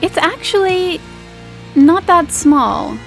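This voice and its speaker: A woman's voice: very high-pitched, lively intonation, slow, creaky, fairly bright tone, soft background music. A woman improvising descriptions as game master of a tabletop roleplaying game, in an informal, feeling-her-way manner.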